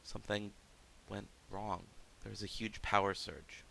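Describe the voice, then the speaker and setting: A man's voice: fairly low pitch, level tone, a little slow, creaky, pushed and bright, a little hiss or hum, exaggerated voice. A man playing a troubled lab scientist in a video game, explaining things in a serious, hesitant way. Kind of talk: naturalistic game dialogue acting.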